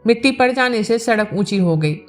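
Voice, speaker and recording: A woman's voice: fairly high pitch, lively intonation, a little fast, faint music behind. A woman narrating a village novel aloud as a storyteller, reading from a script.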